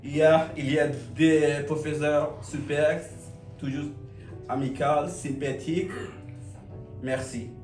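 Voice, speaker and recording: A man from Bangladesh, a French student, telling his story slowly and haltingly. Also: a little high, flat delivery, a little mellow, reverberant, far from the mic, faint music behind. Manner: slow, hesitant learner French. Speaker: language student.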